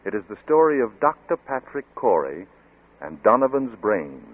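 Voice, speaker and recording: A man's voice: fairly low pitch, very animated, normal speed, husky, dry recording. An actor serving as the ominous male announcer of an old-time radio thriller, with dramatic delivery. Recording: muffled, band-limited vintage radio broadcast.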